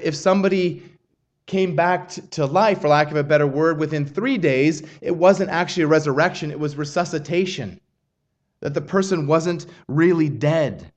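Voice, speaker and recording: A man preaching a sermon in an earnest, measured way, often restarting his phrases. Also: fairly high pitch. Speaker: pastor.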